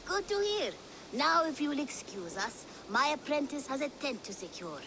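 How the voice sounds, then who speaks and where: A woman's voice: sits high, sing-song, normal speed, background noise. A woman playing a shrewd, gracious merchant in a video game, with formal salesmanlike courtesy. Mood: smooth, courteous. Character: shrewd, gracious merchant leader of a secret trading network. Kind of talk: video game cutscene acting.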